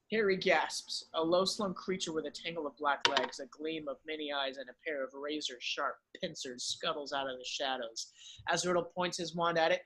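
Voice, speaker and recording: A man's voice: a little high, fairly bright tone, rough recording. A man reading screenplay stage directions as narrator in an amateur table read.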